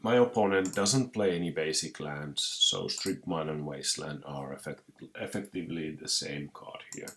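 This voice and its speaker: A young man with a Finnish accent who is sick with a cold, commentating his own card game match with many pauses, restarts and throat clearing. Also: rumbling low, fairly bright tone. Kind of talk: casual gameplay commentary.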